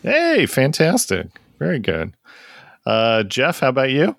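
A middle-aged man hosting a music podcast, joking his way through relaxed introductions. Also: mid-range voice, measured pace, gravelly, no room sound, clean studio sound. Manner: jokey, easygoing introductions. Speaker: podcast host. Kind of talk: casual podcast chat.

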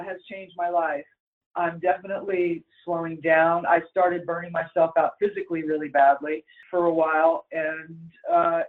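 A man with a high voice rambles bluntly about his work, swearing freely as thoughts pile up. He talks in a loose, casual interview manner. The sound comes through a thin, tinny phone line. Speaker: musician.

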